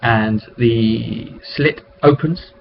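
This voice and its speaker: A man's voice: medium pitch, very slow, creaky. Man rambling on a dull webcam mic. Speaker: tabletop game master hosting a session recap vidcast. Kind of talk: casual off-the-cuff storytelling recap of a roleplay session. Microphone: webcam microphone. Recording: dull lo-fi webcam audio with noise.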